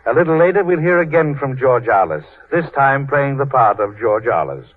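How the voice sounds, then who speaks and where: A man's voice: mid-range voice, expressive, moderate pace. A man announcing a scripted 1930s radio show in a formal, showmanlike way. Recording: tinny 1930s radio broadcast recording.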